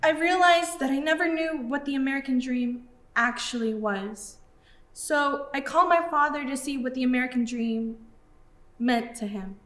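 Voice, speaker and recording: A woman's voice: sits high, moderate pace, a bit bright, echoey room. A woman telling a personal story in a curious, narrative way. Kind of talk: personal monologue.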